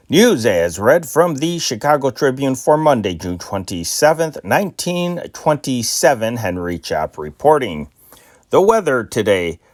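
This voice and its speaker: A man hosts his own channel and reads old newspaper stories aloud in a plain, unpolished way. His voice sits at an ordinary middle pitch. The recording is dry and close, with no room sound. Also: no room sound. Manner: unscripted intro with many "uh" fillers, then plain reading. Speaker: host.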